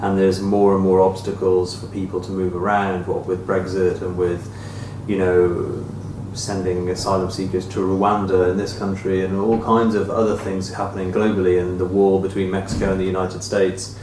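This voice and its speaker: A man's voice: sits low, completely flat delivery, normal speed, hardly any pauses. A man taking part in an informal book discussion, thoughtfully putting comments and questions to an author in tentative phrasing. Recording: echoey room, distant mic, faint noise.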